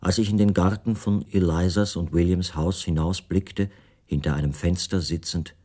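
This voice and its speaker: Deep, dark-voiced solitary male writer. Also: steady tone. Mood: reflective, subdued. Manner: reflective, measured, restrained. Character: captive male writer soberly recounting his own story, solitary and observant. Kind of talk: literary first-person radio play monologue.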